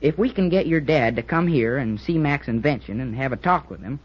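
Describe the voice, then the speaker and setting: An actor playing an eager, joking schoolboy cadet in an old-time radio drama, talking in a chatty, earnest way. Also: male voice, medium pitch, lively intonation, fairly quick, lightly acted. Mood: eager, confiding. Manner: chatty, boyish, earnest explaining. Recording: muffled vintage radio recording.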